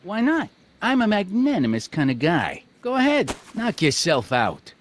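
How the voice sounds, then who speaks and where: A man's voice: sits high, wildly swooping pitch, normal speed, slight background noise. An actor playing a brash, bullying American gangster boss in a video game, with sarcastic, domineering tough-guy talk and old-time slang. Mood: smug.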